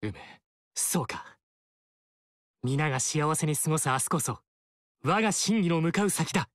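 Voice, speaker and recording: An earnest, righteous young warlord. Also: male voice, fairly high pitch, expressive, normal speed, fairly bright tone, no echo, studio quality. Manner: earnest, resolute and formal. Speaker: game character. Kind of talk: game dialogue acting.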